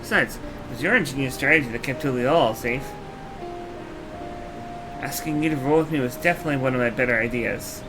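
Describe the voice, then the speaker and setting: A man's voice: medium pitch, a little fast, pauses often, natural voice, rough recording. Man playing an earnest young royal woman, music behind. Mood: resolute. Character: earnest, warm-hearted young female royal, resolute and protective. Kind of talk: video game dialogue acting.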